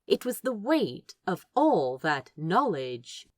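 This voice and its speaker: A woman's voice: fairly low pitch, big pitch swings, takes it slowly, no echo, clean studio sound. A woman reading a story aloud as a scripted narrator. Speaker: audiobook-style narrator of fan fiction.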